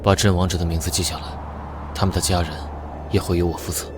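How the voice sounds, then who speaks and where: A very low-voiced male hero commander played by a man in an animated comic drama. He is cool and controlled, and every order or threat carries quiet menace. Loud music plays behind him. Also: fast, close to an everyday voice. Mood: cool, resolute. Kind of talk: motion-comic drama dubbing.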